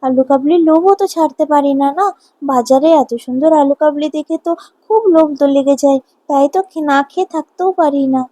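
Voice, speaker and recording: Outspoken cartoon kid voiced by a woman, young boy, high pitch, plaintive, questioning, dry sound. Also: brisk, mellow, no room sound, natural voice. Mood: surprised, whining. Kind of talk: Bengali cartoon morality tale acting.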